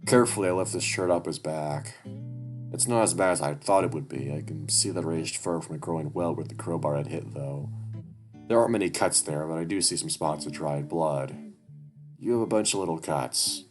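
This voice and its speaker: A man's voice: rumbling low, normal speed. A man reading a story game aloud and commenting on it, swearing often and restarting his sentences. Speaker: gamer playing a story game. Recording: music playing clearly behind.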